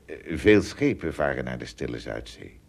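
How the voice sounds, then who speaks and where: Gentle giant castaway: extremely deep, lively intonation, hesitant, good-hearted. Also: male voice, normal speed, gravelly, exaggerated voice. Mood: earnest. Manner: hesitant, repeating words, thinking aloud. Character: good-hearted, principled shipwrecked adult traveler, a giant here. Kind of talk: radio play acting.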